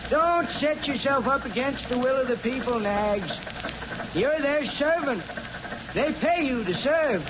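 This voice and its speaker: Very high-voiced cantankerous small-town anarchist. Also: male voice, expressive, unhurried. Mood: preaching, defiant. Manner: folksy, blunt, argumentative. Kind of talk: 1940s radio drama acting. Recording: noisy muffled 1941 radio recording.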